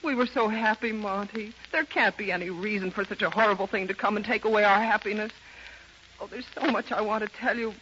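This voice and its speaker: A woman playing a convicted, loving and grief-stricken young mother in an earnest, halting 1930s radio drama style. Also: medium pitch, steady tone, lo-fi sound, lightly acted. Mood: anguished resignation. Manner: earnest, melodramatic, halting with emotion. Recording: bright, noisy, band-limited 1930s radio recording.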